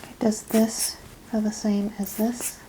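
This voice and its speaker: A soft-spoken woman doing an ASMR clinical exam roleplay, giving step-by-step instructions and often dropping into whispers. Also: medium pitch, flat delivery, a little slow, rough recording. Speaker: ASMR performer playing a medical examiner. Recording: clear background noise and ambience.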